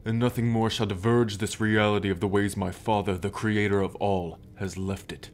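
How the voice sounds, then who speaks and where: A man's voice: lowish voice, level tone, normal speed, slight background noise, lightly acted. An actor playing an arrogant, brooding male sun god in an ASMR roleplay drama, with a scornful, formal, imperious manner. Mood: haughty anger. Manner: haughty, scornful, formal, sighing. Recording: background music under the voice.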